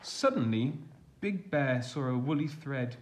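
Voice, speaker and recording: A man reading a children's storybook aloud as a teacher would, expressive and deliberate, stressing the action words. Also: mid-range voice.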